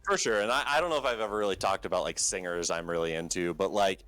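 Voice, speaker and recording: A man with a low talking voice, a band's vocalist as a podcast guest, chatting casually and rambling with many fillers and restarts. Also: quick pace, a bit bright, faint music behind.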